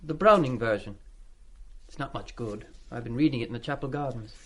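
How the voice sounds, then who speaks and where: A man's voice: mid-range voice, a little fast, a bit bright, natural voice. An actor playing a shy, eager schoolboy in a radio play, speaking politely and diffidently to his master.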